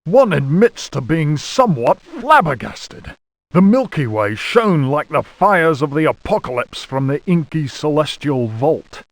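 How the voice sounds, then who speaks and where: An actor voicing a pompous, fastidious aristocratic explorer of the 1820s, reading a travel journal in a mannered, formal way for a horror game. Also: male voice, fairly high pitch, sing-song, no room sound. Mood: impressed, formal.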